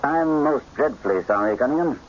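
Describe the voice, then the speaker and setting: Shrewd gentleman detective, played by a man, expressive delivery. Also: mid-range voice, lightly acted. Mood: polite, probing. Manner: courteous, measured, probing questions. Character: shrewd English gentleman detective, polite, coolly methodical. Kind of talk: radio drama acting. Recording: muffled radio drama recording, faint noise.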